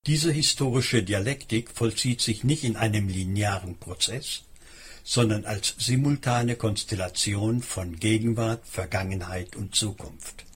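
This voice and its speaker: A man reading a scripted documentary narration in a measured, explanatory manner. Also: lowish voice, breathy edge.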